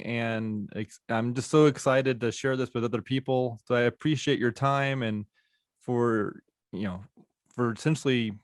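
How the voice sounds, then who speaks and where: A man hosting a podcast interview, speaking softly and appreciatively with some hesitant fillers. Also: mid-range voice, no room sound, studio quality. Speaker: podcast host. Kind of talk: interview wrap-up.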